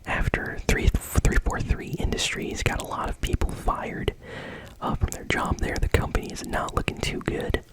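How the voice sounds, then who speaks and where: A host whispering gaming news in a casual, conversational ASMR style, with a breathy, unvoiced voice. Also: normal speed, rough recording.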